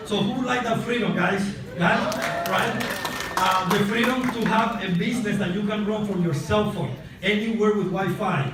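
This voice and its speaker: A man from Cuba, a Spanish speaker, giving an excited, chatty motivational testimonial while out of breath. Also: high voice. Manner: excited, chatty, addresses crowd often. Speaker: testimonial speaker at a sales convention. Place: large event hall on stage. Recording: echoey hall, distant mic, noisy.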